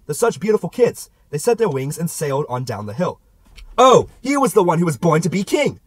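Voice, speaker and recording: A man's voice: on the high side, big pitch swings, a little fast, no echo. A man narrating a literary novel as an amateur audiobook reader, with a theatrical delivery.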